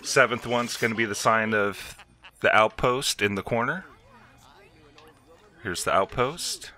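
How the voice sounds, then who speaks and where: A man's voice: on the low side, lively intonation, a little slow, sharp tone. A man hosting a video game walkthrough, explaining each step in an instructional way. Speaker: gameplay video host.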